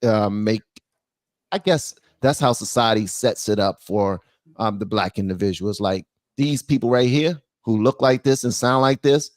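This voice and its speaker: A man's voice: fairly low pitch, measured pace, dry recording, clean studio sound. A man hosting a live call-in stream, chatting casually and informally, addressing his guests like buddies. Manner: informal, calls guests brother, man, babe.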